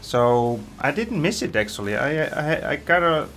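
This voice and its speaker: A man's voice: on the high side, big pitch swings, normal speed, a bit bright, faint music behind. A man from Iceland, chatting casually as a game streamer and reading viewer comments aloud, with hesitant fillers. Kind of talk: casual live-stream chat.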